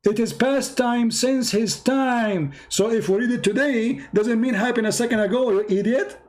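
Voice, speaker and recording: A high-voiced man whose first language is Arabic runs a heated debate. He repeats himself, interrupts and throws insults at his opponent. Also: moderate pace, darkish tone. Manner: aggressive, insulting, repetitive, interrupting. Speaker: debate host.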